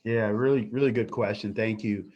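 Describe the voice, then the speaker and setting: Measured man city official. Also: lowish voice, steady tone, darkish tone, highs cut off. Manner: measured, speech-like delivery. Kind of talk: prepared panel answer.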